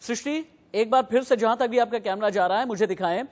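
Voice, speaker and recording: A man's voice: sits high, a little fast. A male news anchor with an insistent, emphatic delivery, directing a live broadcast. He repeats his demands and questions firmly. The sound carries a long reverb.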